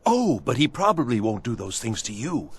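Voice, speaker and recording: A man playing a frantic, pleading servant of a vanished mad master in a video game, with a theatrical manner that swings from pleading to hushed awe. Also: medium pitch, big pitch swings, moderate pace, breathy edge. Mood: nervous warning. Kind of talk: video game character acting.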